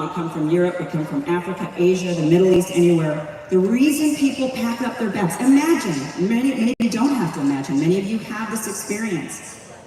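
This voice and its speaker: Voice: medium pitch, darkish tone. A speaker giving a rousing public speech at a community event, asking many rhetorical questions. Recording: echoey hall, voice from far off.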